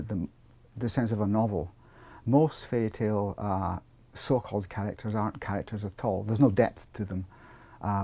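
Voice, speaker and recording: Low-pitched scholarly man explaining. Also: mellow. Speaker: academic expert interviewee. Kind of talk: explanatory interview talk.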